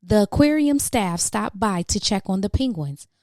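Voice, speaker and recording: A woman's voice: medium pitch, lively intonation, moderate pace, a little mellow, no room sound, clean studio sound. A woman reading a children's picture book aloud as a storytime host, with dramatic questions and exclamations for young listeners.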